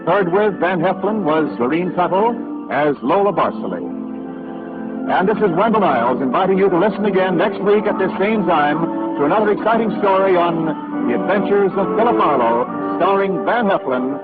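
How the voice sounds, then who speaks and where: A man's voice: high-pitched, animated, moderate pace. A man announcing the closing credits in a formal, polished 1940s radio style. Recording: tinny old radio broadcast with loud music.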